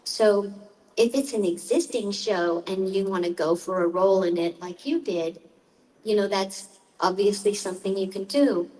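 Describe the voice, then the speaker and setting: A woman's voice: mid-range voice, moderate pace, warm tone. A chatty woman speaks casually on a convention panel, adding light jokes as she goes. She is picked up by a mic set some distance away in the room. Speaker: panelist voice actor.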